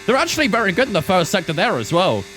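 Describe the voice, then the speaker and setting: A man's voice: high-pitched, big pitch swings, quick pace, a bit bright, slight background noise, low-quality recording. A man in his twenties streaming a racing game, giving chatty running commentary and talking to his chat. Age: 20s. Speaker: gaming streamer. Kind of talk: casual live-stream commentary while racing.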